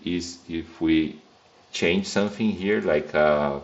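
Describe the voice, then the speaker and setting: A man with a Brazilian Portuguese accent speaks in a very low, deep voice, thinking aloud in a loose, casual way as he works through a screen-recorded coding demo. The sound is a little dull and lo-fi, as if from a desk mic. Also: slow pace. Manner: casual, thinking aloud, frequent fillers and restarts. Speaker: software test engineer.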